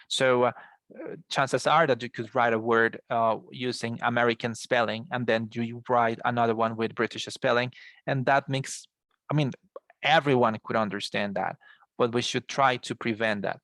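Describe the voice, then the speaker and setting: Gravelly Colombian man teaching English. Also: medium pitch, fairly even intonation, normal speed, fairly bright tone, dry recording. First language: Spanish. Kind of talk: explanatory online class lecture.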